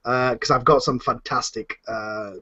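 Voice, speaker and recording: Guy hosting a casual q and a, medium pitch, rambly and hesitant, muffled lo-fi call sound. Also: steady tone, unhurried, bright, forceful tone, breathy edge. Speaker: host, writer and producer of a fan audio drama. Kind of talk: casual question and answer chat. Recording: dull lo-fi voice call.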